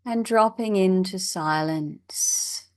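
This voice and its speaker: A woman leading a guided meditation as a teacher, in a measured, instructional way with long pauses. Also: medium pitch, no room sound, clean studio sound.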